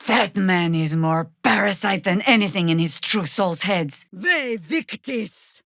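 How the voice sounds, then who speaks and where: Tired sardonic old-hand warrior druid lady in a fantasy game, slow dry delivery, really close dry sound. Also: mid-range voice, lively intonation, gravelly, fairly bright tone, dry recording, heavily acted. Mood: fierce incantation. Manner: dry, wry, measured. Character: weary, sardonic veteran woman druid warrior, battle-hardened. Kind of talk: fantasy video game voice acting.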